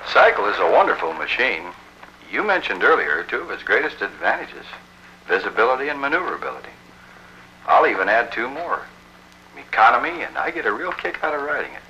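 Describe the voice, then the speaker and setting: A man's voice: medium pitch, very animated, brisk, pushed and bright. A middle-aged American man playing an earnest, confident motorcycle police officer explaining safety in a scripted 1960s training film. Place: small office, indoors. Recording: dull 1960s film soundtrack, faint noise.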